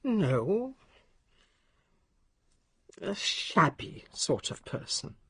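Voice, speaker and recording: Frail, very husky ailing English lady. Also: low voice, big pitch swings, exaggerated voice. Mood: querulous, scornful. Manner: halting, querulous, imperious upper-class speech. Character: ailing bedridden older lady, sharp-tongued and wandering. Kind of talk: radio drama acting.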